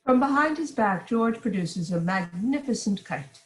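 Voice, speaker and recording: A woman's voice: medium pitch, lively intonation, moderate pace. A woman reading stage directions as narrator in an amateur script read-through.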